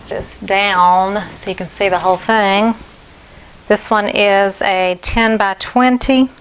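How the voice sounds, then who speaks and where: Middle-aged woman going through her paintings really slow, friendly and calm, a little bit of background hiss. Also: medium pitch, poor audio. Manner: unhurried, friendly, repeats details. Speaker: artist presenting her paintings for auction. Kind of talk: slow, plain auction preview talk. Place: indoor home studio.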